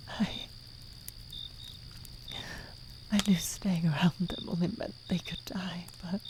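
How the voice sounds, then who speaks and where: A woman playing a centuries-old vampire, anguished, devoted and vengeful, in a dramatic fantasy ASMR roleplay with halting, breathy delivery that often drops into whispers. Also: lowish voice. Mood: anguished guilt. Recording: echoing reverb with noisy cricket ambience. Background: night ambience with crickets and sound effects.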